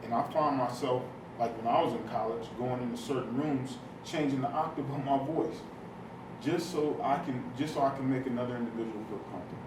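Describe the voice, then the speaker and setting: Reflective man with a deep voice. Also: a little fast. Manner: reflective, searching phrasing. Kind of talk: personal sharing in a listening circle. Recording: echoey room, distant mic, clear noise.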